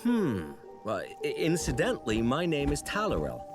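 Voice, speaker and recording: A man's voice: fairly high pitch, huge pitch swings, normal speed. A man voicing a young apprentice angel, polite, bemused and a bit hesitant. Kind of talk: video game cutscene voice acting. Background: game music.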